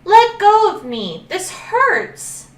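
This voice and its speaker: A woman voicing a small, frightened young dragon that pleads in high cries. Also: lively intonation, takes it slowly, full character voice. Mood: pleading. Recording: echoey room, heard from a distance.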